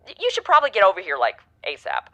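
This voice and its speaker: A woman's voice: medium pitch, huge pitch swings, very fast, bright, forceful tone, lo-fi sound, full character voice. A woman playing a slangy, flippant modern young woman, heard through a telephone effect in a comedic audio drama. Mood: flippant, impatient. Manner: slangy, offhand.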